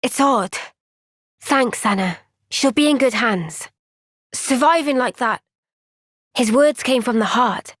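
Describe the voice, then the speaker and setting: A woman playing an earnest, thoughtful young soldier in a fantasy video game cutscene, speaking in a gentle, measured, reflective way. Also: a little high, no room sound, studio quality.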